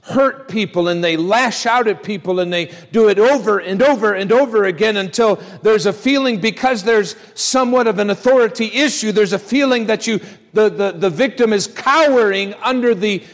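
A middle-aged American man preaching a sermon as a pastor, speaking in an emphatic, folksy way straight to his listeners and repeating phrases. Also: sits high. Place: large church hall, live service. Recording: long hall reverb on voice.

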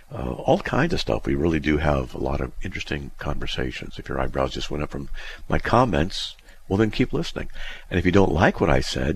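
A middle-aged male radio host with a very deep, husky voice, chatting on air in a loose, rambling way. His pitch swings around freely, and he leans on "uh" and "you know" as he talks. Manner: rambling, conversational, frequent "uh" fillers. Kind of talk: solo talk radio monologue.

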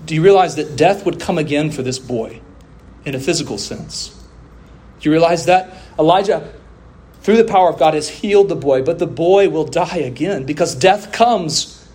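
A man delivering a sermon as a preacher, with emphatic oratory, deliberate pauses, drawn-out phrases and rhetorical refrains. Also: a little high, a little mellow. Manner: rhetorical questions and repeated refrains.

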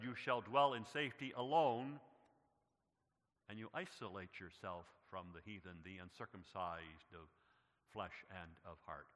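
A man preaching a sermon who rises to loud, emphatic passages and calls his listeners "beloved.". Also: medium pitch. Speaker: pastor. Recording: long reverb of a church hall. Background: long hall reverb.

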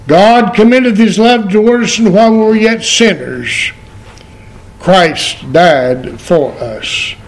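An old man in his eighties preaching a sermon in a church, quoting scripture in slow, emphatic phrases. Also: high-pitched, animated. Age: 80s. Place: church sanctuary. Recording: very echoey church from far off.

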